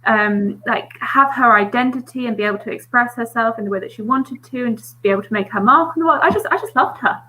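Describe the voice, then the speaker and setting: A woman's voice: on the high side, fast talker, hardly any pauses, bright, forceful tone, rough recording. A woman guest on a live online book panel who gushes enthusiastically about a character. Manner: enthusiastic, gushing. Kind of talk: casual book discussion.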